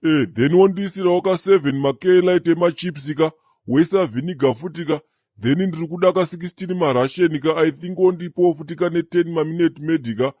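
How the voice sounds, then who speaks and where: A man's voice: a little high, a little fast, warm tone, no room sound, studio quality, lightly acted. A man voicing a swaggering, slangy big-boss customer with a Zimbabwean accent in a comedy cartoon. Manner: slangy, tags questions onto orders. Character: swaggering male boss customer ordering food.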